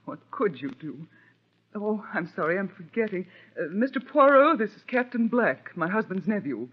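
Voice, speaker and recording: Fluttery, husky genteel widow. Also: female voice, mid-range voice, sing-song, normal speed. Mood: flustered. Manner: genteel, hesitant, self-deprecating. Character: genteel, modest young widow, evasive and fluttery. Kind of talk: old radio drama acting. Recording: dull, band-limited old radio recording.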